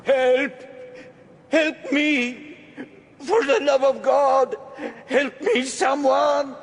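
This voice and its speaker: A terrified victim in a radio play, with faint noise behind. His extremely high, creaky voice drags out each desperate, strained plea. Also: heavily acted. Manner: drawn-out, pleading cries. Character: desperate victim crying out for help. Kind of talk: BBC radio drama acting.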